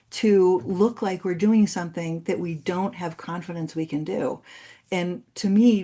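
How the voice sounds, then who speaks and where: A woman's voice: on the low side, mellow. A woman who is a native Spanish speaker, presenting and answering questions as an articulate, explanatory university speaker. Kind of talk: conference presentation and Q&A.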